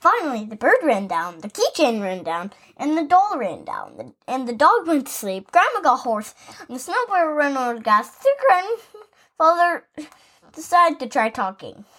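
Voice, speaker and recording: A woman reading a children's picture book aloud as podcast host, in an animated, expressive way with asides. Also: high-pitched, normal speed. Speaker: story reader, podcast host.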